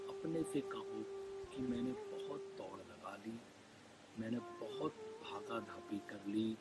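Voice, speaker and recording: Slow-paced man guiding meditation, Indian accent. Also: fairly low pitch, very noisy. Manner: gentle, soothing, with long pauses and repeated phrases. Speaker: meditation guide. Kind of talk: live guided meditation. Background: background music.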